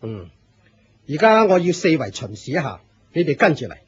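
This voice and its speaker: Husky, pompous Cantonese cult leader. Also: male voice, on the high side, big pitch swings, normal speed, dull audio, heavily acted. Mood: authoritative. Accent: Hong Kong Cantonese. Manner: declamatory, pompous, authoritative. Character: proud older male fire-cult leader, grand and fervent. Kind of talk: Cantonese radio drama acting.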